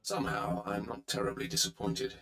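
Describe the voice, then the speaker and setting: An actor playing an arrogant, sardonic Sith warrior in a video game, with a haughty, clipped delivery. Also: male voice, lowish voice, very animated, a little fast, sharp tone, echoey room. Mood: sardonic, suspicious. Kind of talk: dramatic video game voice acting.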